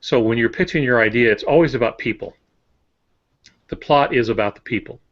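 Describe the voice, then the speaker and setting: A man's voice: mid-range voice, a little fast. A man giving casual, instructive writing advice on a podcast, explaining things in a wry way. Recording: dull, band-limited audio.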